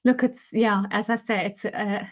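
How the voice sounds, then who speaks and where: A woman's voice: medium pitch, steady tone, moderate pace, highs cut off. A woman from South Africa speaking as an advisor in a webinar discussion, hesitant, with many fillers and repeated words. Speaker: managing director at an advisory firm.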